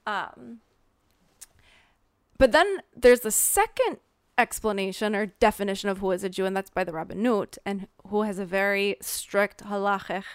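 A woman with a Minnesota accent answers questions about her writing in an even, mid-range voice. Her tone is smooth and clear, and she pauses often with ums as she thinks. The recording is dry, with no room echo. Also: medium pitch. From American Midwest. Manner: thoughtful, with frequent um fillers and restarts. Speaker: author being interviewed. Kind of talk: reflective interview answers.

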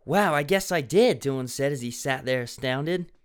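A man's voice: medium pitch, lively intonation, moderate pace, smooth. A young man reading a children's mystery book aloud in a mocking, dramatic way, breaking off to make sarcastic comments. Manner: sarcastic, laughing at the text.

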